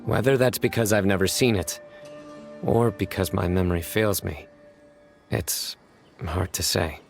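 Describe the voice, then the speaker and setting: A reserved, stern desert investigator in a game. His voice sits very low, and his delivery is measured and deadpan with heavy sighs. Faint music plays under the dialogue. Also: creaky. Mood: calm, grave. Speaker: lead investigator.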